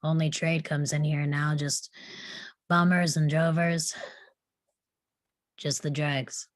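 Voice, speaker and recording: A woman's voice: low-pitched, little pitch movement, slow pace, dry recording, clean studio sound. A woman playing a weary, sardonic male saloon owner in a western table read. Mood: weary, disgusted.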